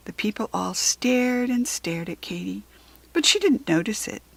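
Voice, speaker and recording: Animated, slow-paced woman reading a storybook. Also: medium pitch, vocal fry, dry recording, rough recording. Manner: expressive, deliberate phrasing with dramatic emphasis. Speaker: children's story reader. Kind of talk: storybook read-aloud for children.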